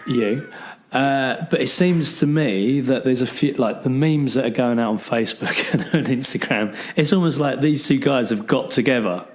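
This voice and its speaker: A man's voice: mid-range voice, moderate pace. A man with a bad cold and a fading voice, chatting loosely as a podcast co-host with lots of ums and restarts. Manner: rambling, full of ums and restarts. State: has a bad cold, losing his voice. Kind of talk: casual chat.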